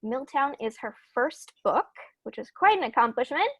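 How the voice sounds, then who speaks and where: A woman with a fairly high voice and clear vocal fry hosts an online author event. She sounds informal and matter-of-fact, and the sound is close and dry. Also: very animated, normal speed, no room sound. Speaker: bookstore event host. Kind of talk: introducing a virtual author event. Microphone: video call.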